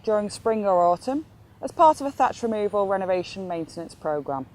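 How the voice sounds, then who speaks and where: A woman's voice: medium pitch, darkish tone. A woman giving scripted instructional narration as an instructor in an educational film.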